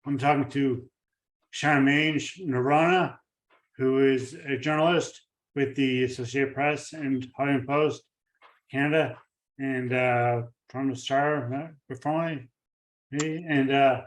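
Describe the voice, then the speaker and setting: Halting man, flat voice, roomy echo. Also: medium pitch. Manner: halting, many fillers and restarts. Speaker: podcast host. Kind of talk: casual interview podcast. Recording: echoey room, far from the mic.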